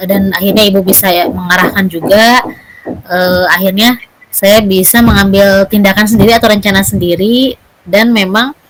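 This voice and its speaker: Polite woman, medium-pitched voice. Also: fairly even intonation, normal speed, a little hiss or hum, low-quality recording. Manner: polite, deferential. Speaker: teacher in peer coaching. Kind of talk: semi-formal coaching conversation.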